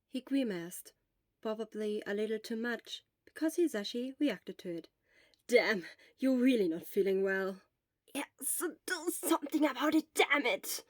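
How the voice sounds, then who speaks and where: A woman reading a piece of fan fiction aloud in slow, even storytelling narration. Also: a little high, dry recording. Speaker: podfic reader.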